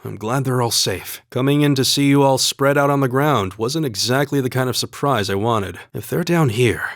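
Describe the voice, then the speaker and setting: A man voicing a calm, devoted young academy student warrior in a video game, speaking in a measured, sincere and formal way. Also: medium pitch, no room sound, studio quality, lightly acted. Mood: serious. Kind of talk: English video game voice acting.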